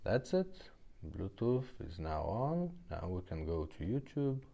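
A man giving a casual, unscripted product demonstration in a halting, low-key way, explaining step by step. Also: big pitch swings, creaky, warm tone. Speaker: presenter demonstrating a restored car radio.

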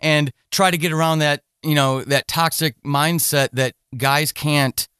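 A man's voice: a little high, steady tone, unhurried, bright, forceful tone, smooth, dry recording, studio quality. A man hosting a casual interview podcast, feeling his way through questions and rephrasing them as he goes. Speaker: podcast host interviewing a writer.

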